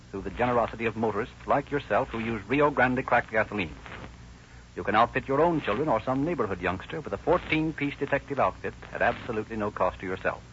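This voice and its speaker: American old-time radio announcer, muffled recording. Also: male voice, medium pitch, fairly bright tone, slight background noise. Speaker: announcer and narrator. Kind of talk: 1930s radio narration and sponsor announcing.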